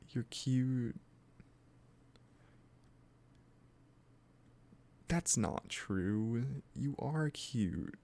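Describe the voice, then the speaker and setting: Man playing a gravelly, doting, obsessive kidnapper. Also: medium pitch. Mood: adoring. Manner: gushing, coaxing, halting asides. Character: obsessive, doting yandere kidnapper, male, sweetly menacing. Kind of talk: scripted roleplay audio, intimate one-sided dialogue.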